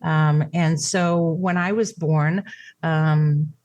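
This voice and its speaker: A woman's voice: sits low, steady tone, slow pace, a little mellow, no echo. A middle-aged woman, raised speaking Japanese, telling her caregiving story in a reflective interview with many fillers and restarts. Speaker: podcast guest, caregiver. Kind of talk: conversational interview.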